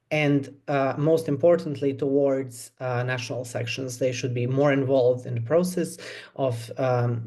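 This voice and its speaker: A young man from Serbia giving a halting campaign speech as a candidate on a video call. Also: mid-range voice, a little mellow. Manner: halting, many filler pauses. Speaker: election candidate.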